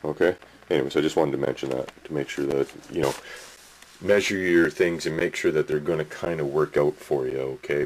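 A man's voice: extremely low pitch, darkish tone, slight background noise. A man, rambling through a casual home workshop tip and checking in with the viewer, recorded on a handheld camcorder. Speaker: hobby model builder. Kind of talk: casual home video tutorial. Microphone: camcorder mic, handheld.

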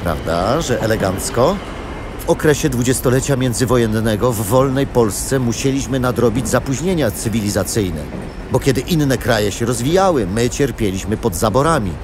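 Male actor as cheerful grandpa guide for children's history radio play: very lively intonation, explanatory, medium pitch. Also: normal speed, background noise, close to an everyday voice. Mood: enthusiastic. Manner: animated, explanatory storytelling to children. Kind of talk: children's audio drama acting.

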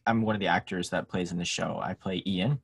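A man's voice: sits low, fairly quick, gravelly, slightly rough, no echo. A young man chatting informally as an interview guest, keeping his answers brief. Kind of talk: casual interview chat. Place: indoor room, on a video call.

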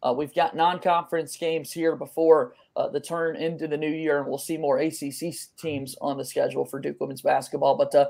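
A man's voice: on the high side, level tone, fairly quick, creaky, hardly any pauses, darkish tone. A young man hosting and interviewing on a sports podcast, speaking over a webcam call from a room at home. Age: young adult. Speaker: podcast host.

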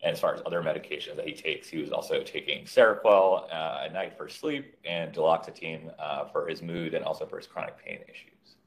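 Very deep-voiced man presenting a case. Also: fairly even intonation, fairly quick, vocal fry, hardly any pauses. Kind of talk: clinical case presentation, unscripted. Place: indoor room on a video call. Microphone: video call.

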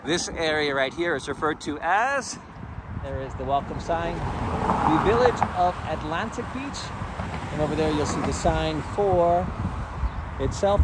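A man narrating a walking tour in a chatty, digressive way, recorded outdoors on a camera mic while he walks. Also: a little high. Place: outdoors on a bridge footpath by the sea. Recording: outdoor street noise. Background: traffic and wind noise.

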